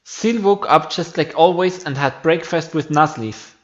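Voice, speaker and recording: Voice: mid-range voice, unhurried, highs cut off. A non-native English speaker reading game story text aloud as a playthrough narrator. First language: not English. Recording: game music behind the voice.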